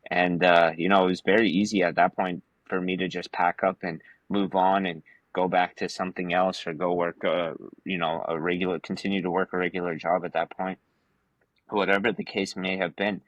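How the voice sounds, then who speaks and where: A man's voice: low voice, little pitch movement, normal speed, dry recording, muffled recording. A man from Afghanistan talking as a podcast guest in a casual, emphatic and slangy storytelling way.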